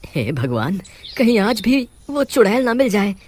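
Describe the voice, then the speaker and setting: A man's voice: high voice, very animated, measured pace, darkish tone, slight background noise. A performer voicing a fearful, poor male woodcutter in a cartoon, stammering and nervous. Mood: worried. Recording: music behind the voice.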